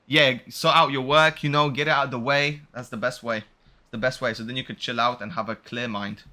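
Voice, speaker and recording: A man's voice: medium pitch, steady tone, a little fast, bright, forceful tone. A young man doing casual livestream game commentary, upbeat and slangy as he chats with his viewers. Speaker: game streamer. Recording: music playing behind the voice.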